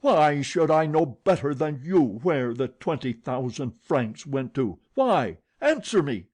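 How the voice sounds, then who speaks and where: A man's voice: fairly high pitch, big pitch swings, slow, dark tone, pitched above the performer's own voice, exaggerated voice. A man voicing a hot-tempered theatre manager who blusters and accuses, in a storybook reading. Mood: roaring.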